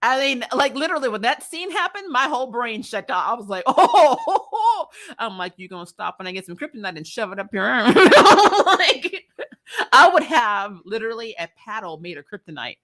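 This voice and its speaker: Female recap host, bright forceful voice, chatty and slangy, blunt opinions with mock quotes. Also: fairly high pitch, moderate pace. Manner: animated, slangy and sweary, breaking into laughter; acts out dialogue in mocking quotes. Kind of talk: casual, opinionated review chat to viewers.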